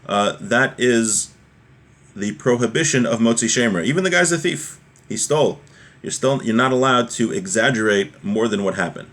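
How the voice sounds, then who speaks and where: A man's voice: medium pitch, expressive, normal speed, a bit bright. A man giving an informal religious lesson, reading and explaining as he goes and putting rhetorical questions to the listener. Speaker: religious teacher.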